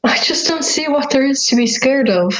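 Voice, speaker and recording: A fairy-tale schoolgirl in an audio drama, played by a young woman, teasing and self-conscious. Her voice sits fairly high and comes through slightly lo-fi, with a questioning, chatty delivery. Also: expressive, normal speed, fairly bright tone. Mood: teasing, puzzled. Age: young adult.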